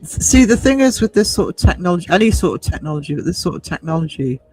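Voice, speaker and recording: A man's voice: sits high, sing-song, fast talker, a little mellow, faint music behind. A man in his fifties hosting a livestream, chatting casually and reading out comments, hesitant and thoughtful as he rephrases. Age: 50s. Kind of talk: casual discussion.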